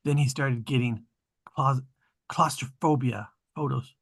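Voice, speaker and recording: A man reading the part of an earnest, excitable young adventurer boy at a film-script table read, with a gravelly, husky voice. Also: mid-range voice, a little slow. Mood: earnest explaining.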